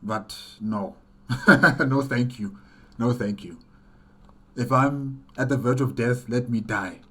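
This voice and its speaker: A man's voice: mid-range voice, takes it slowly, fairly bright tone, husky. A man hosting a reaction video, commenting casually and emphatically, repeating phrases for stress.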